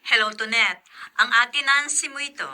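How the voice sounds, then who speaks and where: Very bright-voiced busy elder sister. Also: female voice, on the high side, normal speed. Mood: matter-of-fact. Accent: Filipino. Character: busy, matter-of-fact elder sister, professional lawyer. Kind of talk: Filipino radio drama acting.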